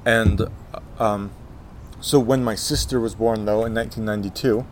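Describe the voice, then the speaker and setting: Young man in his twenties, lowish voice, halting delivery full of ums, faint background hiss. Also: fairly even intonation. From Texas, USA. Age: 20s. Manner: hesitant, many fillers and restarts. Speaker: guest, Zen monk. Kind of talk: casual life-story interview.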